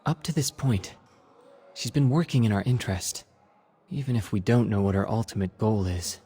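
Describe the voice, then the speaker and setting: A calm, calculating strategist from a video game story. His voice is fairly low and husky as he weighs things up. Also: normal speed. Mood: measured. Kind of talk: dramatic video game voice acting.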